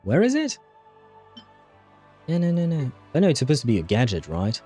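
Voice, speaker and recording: A man with a dark, relaxed, mid-pitched voice commentates casually on his own gameplay. He mutters his thoughts aloud and swears freely, with soft game music playing behind him. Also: normal speed, slightly rough. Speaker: livestream host.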